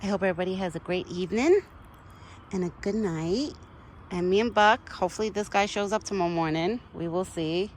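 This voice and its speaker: A middle-aged woman chatting casually outdoors to her viewers. She keeps breaking into high sing-song baby talk for an animal. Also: a little fast, slight background noise. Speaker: vlogger talking to a wild deer. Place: outdoors in a yard.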